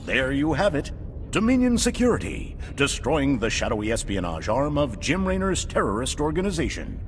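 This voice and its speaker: A man's voice: a little high, sing-song, normal speed, in a large hall, a little hiss or hum. An actor playing a slick, smarmy male propaganda news anchor.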